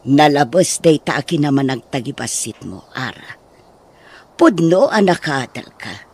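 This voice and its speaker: Casting: concerned parent of a grown daughter, played by a man, highish, unhurried, husky, Ilocano accent, radio drama. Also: sing-song, full character voice. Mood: coaxing, concerned.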